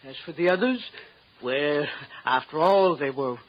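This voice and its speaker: A man's voice: fairly high pitch, unhurried, lightly acted. A man playing a genteel, placating doctor. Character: smooth, fussy male country doctor. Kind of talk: 1950s radio drama acting. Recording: dull lo-fi old radio broadcast with faint hiss.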